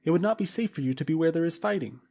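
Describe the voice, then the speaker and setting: A man voicing a scheming camp leader who gives smooth, commanding orders. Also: a little high, lively intonation, racing, warm tone, voice pushed up.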